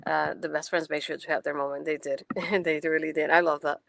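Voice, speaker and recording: Low-voiced chatty woman host. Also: level tone, a little fast, gravelly, a bit bright, slight background noise. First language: not English. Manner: chatty and enthusiastic, many fillers. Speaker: post-show host. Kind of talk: casual wrestling event recap.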